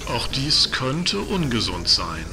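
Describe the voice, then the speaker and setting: Guy doing polite store announcer, deadpan, mid-pitched, lots of noise behind. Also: full character voice. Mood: deadpan courtesy. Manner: formal, measured, deadpan. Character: polite, formal male department store announcer giving solemn advisories. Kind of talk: scripted announcement in audio drama. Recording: clear background noise and ambience.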